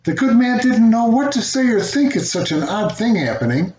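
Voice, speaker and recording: An older man reading a children's fairy tale aloud as a storyteller, with an expressive delivery. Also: high voice, moderate pace. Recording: roomy, dull home recording, faint noise.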